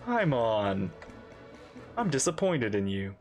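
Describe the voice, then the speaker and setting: A man's voice: mid-range voice, very animated, slow, faint music behind. A man doing casual live reaction commentary, teasingly talking back to game characters. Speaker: reaction streamer.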